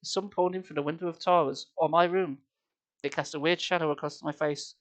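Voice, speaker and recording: A man's voice: fairly high pitch, steady tone, a little fast. A man reading a story aloud on a live stream, theatrical and playful, with casual asides.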